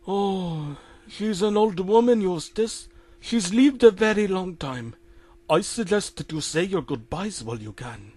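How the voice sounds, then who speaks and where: A man's voice: high-pitched, expressive, measured pace, a little mellow, dry recording, voice pushed up. A man voicing a resigned cartoon doctor with an Indian accent. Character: resigned cartoon doctor, male, delivering grim news.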